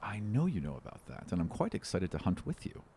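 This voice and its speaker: Man voicing an animated, smug elf demigod hunter. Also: deep voice, a little fast, creaky, slight background noise, exaggerated voice. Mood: smug, eager. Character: eccentric, confident pale elf demigod of the hunt.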